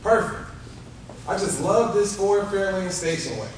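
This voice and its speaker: An actor playing a brisk, upbeat 1950s family father in a live radio play. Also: high-pitched, close to an everyday voice. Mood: upbeat, proud. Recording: echoey theater, distant mic, clear noise.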